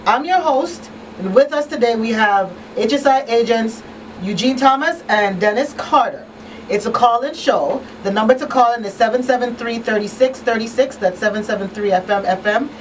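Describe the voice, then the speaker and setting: A woman's voice: a little high, normal speed. A woman presenting a live radio talk show as host in a small studio. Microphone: boom-arm studio microphone. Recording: slightly distant, faint room noise.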